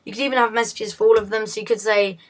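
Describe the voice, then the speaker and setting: A woman with a hoarse, fading voice, talking through a game tutorial in a chatty, self-correcting way. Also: mid-range voice, fairly even intonation, racing. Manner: chatty, self-correcting, often laughs at slips. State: hoarse, losing her voice. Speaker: video tutorial host.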